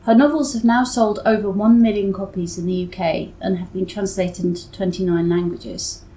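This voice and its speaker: A woman's voice: mid-range voice, fairly even intonation, normal speed, warm tone, rough recording. A woman hosting a literary podcast, introducing and questioning a guest. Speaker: podcast host. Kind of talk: scripted introduction and interview questions.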